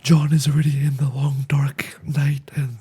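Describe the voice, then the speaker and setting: A host with a raspy, quiet, fragile voice, talking through a game scene in a soft near-whisper with frequent "right?" asides. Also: medium pitch, little pitch movement, unhurried, faint music behind. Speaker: video essay host reacting to a game scene. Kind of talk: casual spoken analysis.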